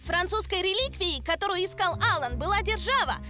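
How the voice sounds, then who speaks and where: A woman voicing a stern, determined young girl in a cartoon, forceful and questioning. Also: very high-pitched, lively intonation, fairly quick, soft background music, full character voice. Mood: serious, puzzled. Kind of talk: animated series dub.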